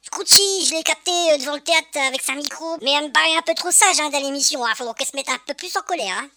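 A woman's voice: high-pitched, fast, bright, forceful tone, no echo, studio quality. A woman voicing a cheeky, slangy young male radio fan who rambles with lots of hesitations in a comic phone-in sketch. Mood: chatty.

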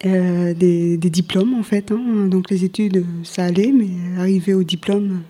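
A woman telling her own story casually as an interview guest, hesitant, with drawn-out pauses. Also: on the low side, steady tone, dark tone, breathy edge. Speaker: interview guest, former childminder returning to study. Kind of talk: casual personal account.

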